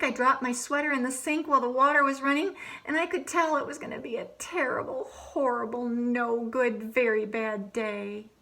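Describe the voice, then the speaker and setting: A woman's voice: on the high side, bright, forceful tone. A woman reading the lines of a grumpy young boy from a picture book, complaining and emphatic. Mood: grumbling. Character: grumpy young boy having a bad day. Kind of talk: picture book read aloud.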